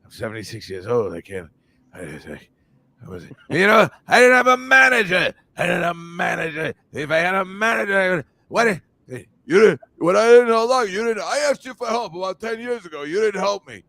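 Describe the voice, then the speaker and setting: A man voicing a cranky seventy-six-year-old boxing trainer with a croaky voice that jumps between low and shrill. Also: very animated, a little fast, bright, forceful tone, dry recording, heavily acted.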